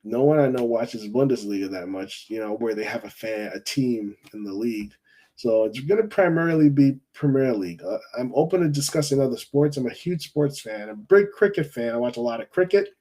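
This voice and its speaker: A man from Jamaica hosts a casual football call-in show. His voice is mellow and dark, at a middle pitch. He rambles in a friendly way, repeats phrases and keeps adding "you know.". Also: a little fast, poor audio. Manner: chatty, rambling, repeats himself, says you know often. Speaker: fan call-in show host.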